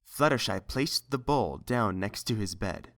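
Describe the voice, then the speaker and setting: A man's voice: on the low side, lively intonation, measured pace. A man reading a scripted story as its narrator.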